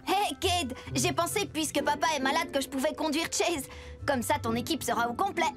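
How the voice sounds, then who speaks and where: A woman's voice: high voice, fairly quick, lightly acted. A woman voicing an eager, curious young boy who wants to help, in a French cartoon dub. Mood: pleading. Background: music.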